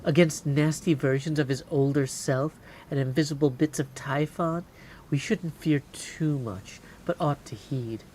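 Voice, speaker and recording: A game streamer voicing a solemn fantasy game character who gives counsel in a slow, formal, weighty way. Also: medium pitch, a little mellow, lightly acted. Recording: clear background noise.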